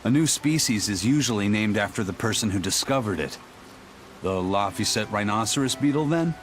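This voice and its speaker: A man's voice: fairly low pitch, lively intonation, normal speed, a little hiss or hum, close to an everyday voice. Man playing a blunt, emphatic pirate. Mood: matter-of-fact. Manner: matter-of-fact, emphatic, dramatic. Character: blunt, stern, proud adult pirate. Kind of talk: English video game voice acting.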